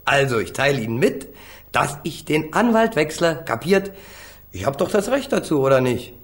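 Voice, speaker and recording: A man's voice: fairly high pitch, very animated, normal speed. A man acting a cheeky, street-tough young defendant in a radio crime drama, slangy, insolent and taunting. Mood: cheeky, dismissive.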